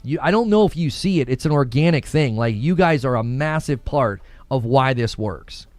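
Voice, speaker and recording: A man hosting a livestream in a casual, slangy, conversational way, reading out viewer messages and shout-outs and repeating himself for emphasis. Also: medium pitch, lively intonation, normal speed, no room sound. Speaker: livestream host.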